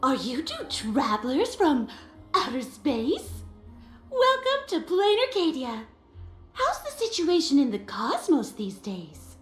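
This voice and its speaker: A woman's voice: high-pitched, wildly swooping pitch, a bit bright, exaggerated voice. An actress playing a cheery, theatrical young woman in an anime game, welcoming people effusively and without hurry. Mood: delighted welcome. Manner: effusive, unhurried. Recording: echoey game audio with light music.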